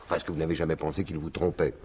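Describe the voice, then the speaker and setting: An actor playing a courteous, dogged French police commissioner in a radio mystery, with hesitant, probing questions. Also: male voice, a bass voice, flat delivery, very fast, crowd noise. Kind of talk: 1950s-style French radio mystery acting.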